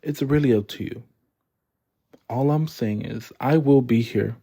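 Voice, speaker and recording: A man's voice: mid-range voice, a little slow, dark tone, slightly rough. A young man hosting his own podcast, talking earnestly and rambling from the heart with fillers and repeats. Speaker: podcast host. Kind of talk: heartfelt solo podcast monologue.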